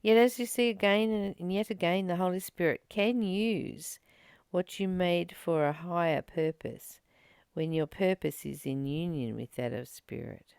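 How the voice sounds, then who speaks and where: Calm woman musing on a spiritual book, unhurried, dry close sound. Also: on the low side, gravelly, smooth, no room sound. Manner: slow, gentle, contemplative. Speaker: spiritual study reader and teacher. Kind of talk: reading a spiritual text aloud and reflecting on it.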